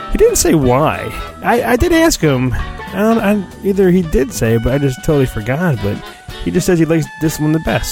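A middle-aged American man reading a storybook dramatically and breaking in with informal, wisecracking asides, recorded on his phone. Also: medium pitch. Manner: informal, wisecracking, self-interrupting. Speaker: podcast host. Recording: homemade phone recording, roomy echo, faint music.

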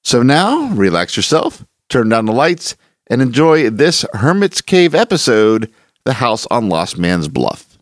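A man's voice: mid-range voice, big pitch swings, measured pace, dry recording, studio quality. A man presenting as a host, reading a scripted introduction in a narration style. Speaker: podcast host. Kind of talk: scripted narration introducing old radio horror. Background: music underneath.

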